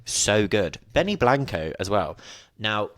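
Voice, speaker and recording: A man's voice: fairly low pitch, sing-song, unhurried, fairly bright tone, dry recording. A man, chatting casually and rambling as a podcast host, with a sniffly nose. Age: 20s. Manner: chatty, rambling, self-mocking asides. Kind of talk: casual solo podcast chat. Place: at home, indoors.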